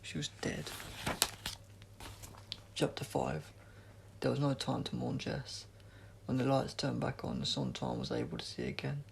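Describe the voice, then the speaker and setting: A man narrates a story with heavy creak in his voice and lively swings of pitch. He reads dramatically, over a faint layer of noise. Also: unhurried, pauses often. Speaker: audiobook reader.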